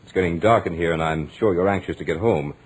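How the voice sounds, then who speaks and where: An actor playing a self-assured, studious young gentleman narrating his own tale in measured, literate 1940s radio drama style. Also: sits low, husky. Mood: composed, amused.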